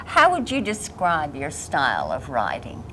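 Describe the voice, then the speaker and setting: A woman interviewing a poet, asking questions in a warm, encouraging, chatty way. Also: low voice, wildly swooping pitch, measured pace. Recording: faint background noise.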